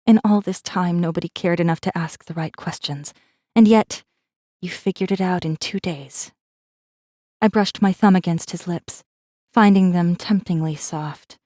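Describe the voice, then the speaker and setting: A woman's voice: on the low side, dark tone, dry recording, clean studio sound. A woman voicing a wry, guarded rebel messenger who narrates a space fantasy audiobook in a controlled, intimate way. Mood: conflicted, tender. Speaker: audiobook narrator in first person. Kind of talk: scripted dramatic narration.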